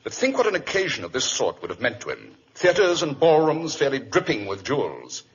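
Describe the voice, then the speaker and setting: A brooding English detective with a British accent and a mid-pitched, very animated voice. He declaims with dry, theatrical sarcasm, as in an old radio play. The recording is dull and dated. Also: a little fast, a little hiss or hum. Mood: theatrical lament. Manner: dramatic, declaiming, sardonic. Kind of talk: 1940s radio acting.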